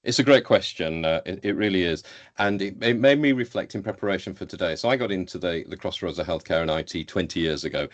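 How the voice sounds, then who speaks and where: A middle-aged man with a deep voice and a Yorkshire accent. He talks at a quick clip, like a seasoned webinar panellist, leaning emphatically on key words and repeating them for stress. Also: muffled recording. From Yorkshire, England. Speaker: healthcare IT professional, panel speaker. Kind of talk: conversational webinar panel answer.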